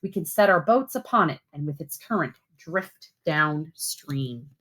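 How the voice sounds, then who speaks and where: A woman reading aloud as a teacher to schoolchildren, with expressive, deliberate phrasing. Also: low voice, slightly rough. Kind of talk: read-aloud storytelling and simple instructions for children.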